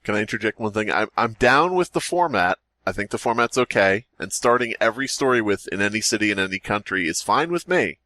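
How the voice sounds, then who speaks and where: A man's voice: on the low side, expressive, brisk, few pauses, bright, forceful tone, no echo. A man co-hosting a podcast, bantering casually in an opinionated, jokey way with some swearing. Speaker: podcast co-host.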